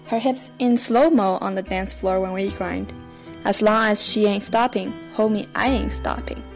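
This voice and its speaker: A woman's voice: mid-range voice, steady tone, normal speed, creaky. A woman co-hosting a podcast, doing a dramatic spoken reading of rap lyrics. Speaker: podcast co-host. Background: faint music.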